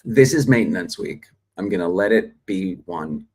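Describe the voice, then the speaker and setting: A man's voice: deep voice, lively intonation, moderate pace, a little mellow, breathy edge. A middle-aged man from Australia hosting a live acting stream, chatting casually and reading out viewer comments with many ums and uhs. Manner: chatty, reads viewer comments, frequent fillers. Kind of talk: casual livestream chat. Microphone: phone or desk mic, livestream.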